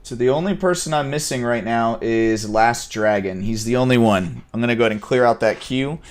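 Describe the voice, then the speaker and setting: Man, stream host, mid-pitched voice, upbeat, chuckling, busy. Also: a little fast. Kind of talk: casual gaming stream commentary.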